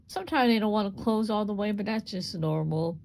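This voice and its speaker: A woman with a gravelly, hoarse voice demonstrates a small craft step by step. Her talk is relaxed and unscripted, with plenty of repeats as she works. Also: medium pitch, brisk. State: voice going hoarse. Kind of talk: casual hands-on craft demonstration.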